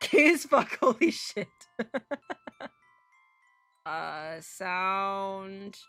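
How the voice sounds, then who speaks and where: A woman livestreamer with a bright, forward voice talks casually and bluntly as she hosts a game playthrough. Her delivery is animated and irreverent, moving between chat and reading aloud. The sound is close and dry, with music behind her. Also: mid-range voice, extremely slow, clean tone, no echo. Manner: foul-mouthed, irreverent, blunt. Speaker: live streamer hosting a visual novel playthrough.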